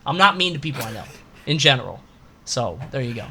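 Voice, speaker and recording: A man's voice: mid-range voice, sing-song, fast talker, bright, forceful tone, a little hiss or hum. A man co-hosting a podcast, reading out questions and bantering casually in a blunt, sarcastic, sweary way.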